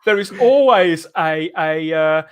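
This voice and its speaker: A man's voice: fairly high pitch, lively intonation, takes it slowly, smooth. A British man hosting a live fan podcast discussion, speaking in an emphatic, earnest and rhetorical way. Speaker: regular podcast host.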